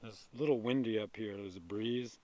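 A man in his thirties talking casually to a handheld camera outdoors in a forest, relaxed and rambling with fillers. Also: fairly low pitch, fairly quick. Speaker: outdoors vlogger. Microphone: handheld camera at arm's length.

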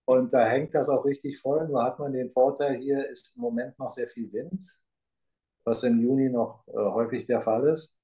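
A man's voice: mid-range voice, fairly even intonation, normal speed, dark tone, rough recording. Emphatic middle-aged man on a video call. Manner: gesturing, rambling, emphatic with rhetorical questions. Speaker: talk show co-host. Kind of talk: casual conversation. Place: room with a green grass backdrop. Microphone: video call webcam.

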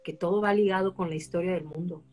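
A woman hosting an online conversation, talking casually and apologizing with a lively, chatty manner. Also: fairly low pitch, quick pace, a little hiss or hum. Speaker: interviewer host. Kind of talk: casual live-stream conversation. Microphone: online live-stream.

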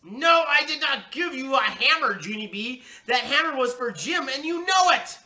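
A woman voicing an angry, exasperated mother who shouts and scolds her young daughter in a storybook reading. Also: high voice, expressive, normal speed, echoey room, voice pushed up. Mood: furious, scolding.

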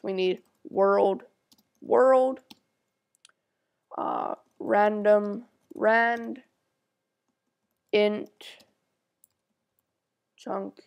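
Slow, gravelly woman with a stuffy nose. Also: medium pitch. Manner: talks slowly while typing code, thinking aloud. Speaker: tutorial maker. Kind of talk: screencast coding tutorial.

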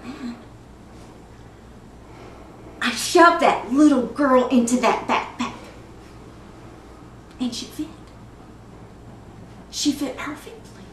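A woman playing a disturbed, wistful, eerily childlike mother in a dramatic film monologue, halting and drifting between murmurs and sudden emphasis. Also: sits high, fairly even intonation. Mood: chilling, hushed. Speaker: actor performing a film monologue. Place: echoey indoor studio room. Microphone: distant microphone. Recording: echoey room, distant mic, clear noise.

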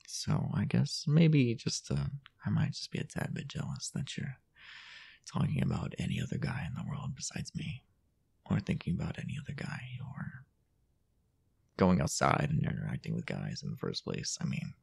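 A man playing a possessive, teasing young boyfriend in an improvised intimate roleplay audio, coaxing in a husky, breathy, hesitant murmur. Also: deep voice, darkish tone, full character voice. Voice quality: husky, gravelly, often breathy or whispered. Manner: hesitant, coy, coaxing murmur with drawn-out pauses.

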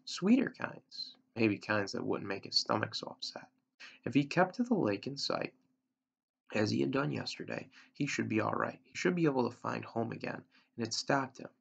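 A man in his thirties with a gravelly, husky voice reads a story aloud, recorded on a webcam in a room at home. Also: mid-range voice. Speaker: teacher reading aloud. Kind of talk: storybook read-aloud narration. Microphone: computer webcam at arm's length. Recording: dull lo-fi webcam sound.

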